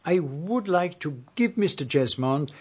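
An actor playing a shrewd, courteous Belgian detective with a French-speaking accent in a radio drama, formal, precise and unhurried. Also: male voice, on the high side, expressive, slightly rough. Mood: calm, narrating. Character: shrewd, courteous middle-aged foreign male detective.

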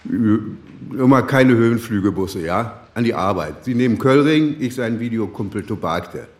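An actor playing a gruff, middle-aged police inspector in a radio crime drama, wry, brusque and colloquial. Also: male voice, lowish voice, expressive, normal speed, close to an everyday voice. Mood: wry, bossy. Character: gruff, sardonic male police chief inspector. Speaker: police detective.

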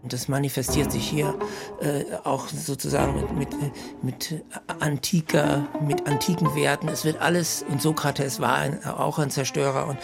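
Male composer, gravelly, unhurried, searching for words, heavy music underneath. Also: a little high, level tone. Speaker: composer and violist. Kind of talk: archival talk about his music.

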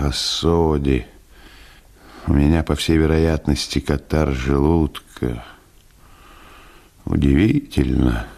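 Man acting a low, drowsy, bored gentleman. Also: creaky, full character voice. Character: drowsy, bored gentleman trapped listening, thinking to himself.

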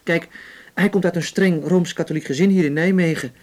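An actor playing an easygoing young male activist in a naturalistic radio drama, calmly persuasive. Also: a little high, a little fast, lightly acted. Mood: reflective.

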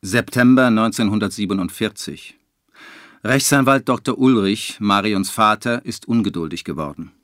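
A man's voice: fairly low pitch, moderate pace, a bit bright, dry recording, clean studio sound, lightly acted. A man acting as a harried writer, narrating his troubles in the first person in a radio drama. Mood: dry, matter-of-fact. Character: harried male writer narrating his dwindling fortunes, dry.

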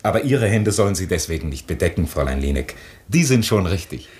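Very deep-voiced, earnest chaplain. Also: male voice, big pitch swings, fast, a little mellow. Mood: gently attentive. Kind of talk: German radio play acting.

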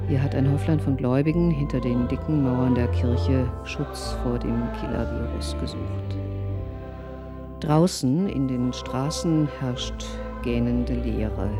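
Slow, grave female field reporter. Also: rumbling low. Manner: measured, sombre reporting. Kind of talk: radio drama news report. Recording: clear music behind the voice.

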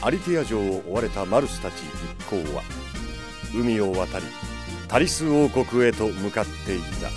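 A man's voice: lowish voice, lively intonation, moderate pace, music in the background, lightly acted. A man performing solemn, stately scripted narration for a fantasy audio drama.

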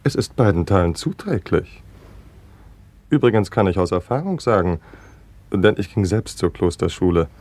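A man acting a suave, formal gentleman in a German radio play, with courtly, measured phrasing. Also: low-pitched, expressive. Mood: dry, urbane.

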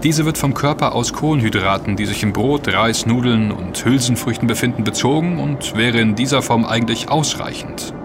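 A man's voice: mid-range voice, normal speed, hardly any pauses, over music, lightly acted. An actor playing an earnest, knowledgeable man who explains and warns emphatically in a scripted audio drama. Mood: explaining. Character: earnest, knowledgeable man warning his friends.

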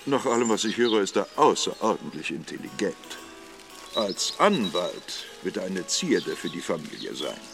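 An actor playing a self-assured, condescending gentleman in a radio drama, speaking formally and patronizingly to a family he advises. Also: sits low, very animated, moderate pace, creaky, music in the background, heavily acted.

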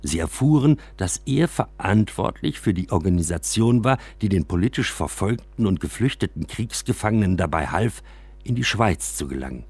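Male narrator, lowish pitch, husky texture, scripted radio storytelling, expressive literary reading. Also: normal speed, no echo. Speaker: narrator reading a first-person memoir.

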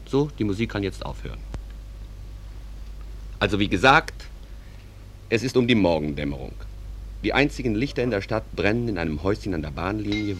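A man playing a genial stage manager who introduces a small town to the audience in a conversational, unhurried, digressive way. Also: deep voice. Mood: matter-of-fact. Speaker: narrator. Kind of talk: theatrical narration addressed to the audience. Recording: music playing behind the voice.

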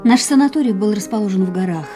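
A pensive woman patient played by an actress in a radio drama, with a medium-pitched voice. She speaks in measured, deliberate phrases, with piano music clearly behind her. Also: expressive, natural voice. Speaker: actress and narrator in a radio play. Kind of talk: literary radio drama narration.

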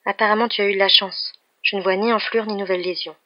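A storybook narrator voicing a confident, bossy but kind young woman doctor with a brisk, professional manner. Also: medium pitch, fairly even intonation, no echo, voice pushed up, close to an everyday voice. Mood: reassuring, teasing. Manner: professional, matter-of-fact, commanding. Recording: dull, muffled lo-fi recording.

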